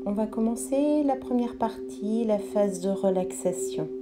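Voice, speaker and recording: A woman leading a guided yoga relaxation as an instructor, speaking calmly in measured, instructive phrases. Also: mid-range voice. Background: meditation music with singing bowl tones.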